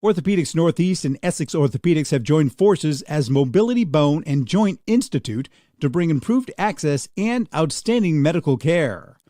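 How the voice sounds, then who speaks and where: Guy announcer, higher voice but really mellow, dry close sound, reading an ad. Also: expressive, normal speed, no echo. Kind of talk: scripted radio ad narration.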